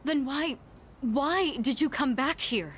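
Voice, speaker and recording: An actress playing an earnest high school student council president in a video game, speaking formally and with measured care. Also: female voice, expressive, a bit bright, soft background music, exaggerated voice. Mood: concerned.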